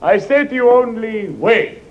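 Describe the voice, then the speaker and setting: An actor playing a commanding, theatrical stage mentalist in a 1940s-style radio drama, declaiming imperiously. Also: male voice, high-pitched, expressive, a little slow, slight background noise. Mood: grand, solemn. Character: grandiose, commanding male stage mentalist showman. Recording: muffled vintage radio, audience murmur.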